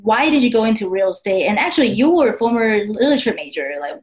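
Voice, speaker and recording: A woman's voice: fairly high pitch, animated, quick pace. A woman from Taiwan with a Taiwanese Mandarin accent, a podcast guest telling her story in casual chat, full of fillers and laughs. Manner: chatty, many fillers, laughs often. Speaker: guest author.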